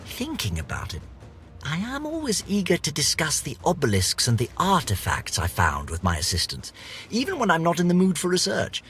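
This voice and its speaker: An actor playing a pompous, eccentric British gentleman scientist, vain and eager, reading florid journal entries for a video game in a theatrical, precisely worded way. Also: medium pitch. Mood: musing.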